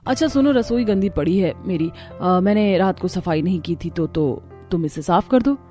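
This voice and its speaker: A woman's voice: lowish voice, animated, moderate pace, warm tone, soft background music, lightly acted. A woman voicing a resentful, bossy elder sister-in-law in a Hindi cartoon story, sarcastic and fond of giving orders.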